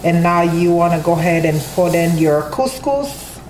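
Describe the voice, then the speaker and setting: A woman's voice: on the low side, lively intonation, moderate pace, noisy background. Casual woman host, friendly tutorial voice-over, a bit of room echo. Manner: friendly, chatty, addresses viewers as guys. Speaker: cooking channel host. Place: home kitchen.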